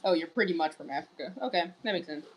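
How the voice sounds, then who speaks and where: A woman's voice: lowish voice, fast talker, a little hiss or hum, rough recording. A woman streaming live in casual chat, reading viewer messages aloud and answering them. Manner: wearing large headphones. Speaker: livestreamer.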